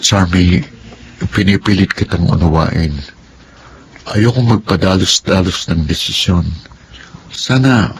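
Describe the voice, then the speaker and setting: A man playing an earnest young husband who reasons calmly with his wife in a radio drama. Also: low-pitched, slow, pauses often. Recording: background hiss.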